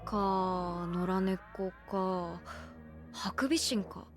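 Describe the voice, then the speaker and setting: A woman's voice: mid-range voice, very slow, soft background music. A woman voicing a practical, dryly unfazed young woman in a Japanese audio drama, explaining things politely but casually.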